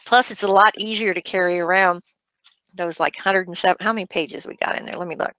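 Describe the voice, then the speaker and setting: A middle-aged American woman teaching an online class in an informal, conversational, halting way, checking in with listeners as she goes. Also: lowish voice, fairly even intonation, sharp tone, no room sound, rough recording. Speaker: medical coding instructor. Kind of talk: informal webinar teaching with screen walk-through. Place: small indoor room, webcam online session.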